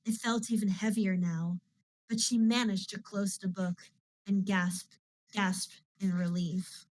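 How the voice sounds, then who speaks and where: A woman from the Netherlands reading a novel aloud on a live stream, calm and chatty between chapters. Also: mid-range voice, slow, dry recording, low-quality recording. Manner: calm, chatty asides to viewers. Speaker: audiobook reader and streamer.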